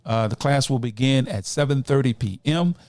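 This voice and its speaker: Male podcast host, late fifties, mid-pitched, exhortatory community address, very dry recording. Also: expressive, normal speed, darkish tone, dry recording. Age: late 50s, nearly 60. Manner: exhortatory, addresses listeners as brothers and sisters. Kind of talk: community podcast talk with announcements and shout-outs.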